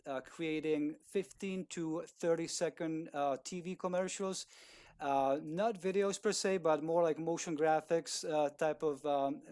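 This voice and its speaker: Czech-accented man, smooth clear voice. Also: a little high, a little mellow. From Czech Republic. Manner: polite, halting, many fillers and restarts. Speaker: guest speaker, video producer. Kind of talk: casual talk on a video call.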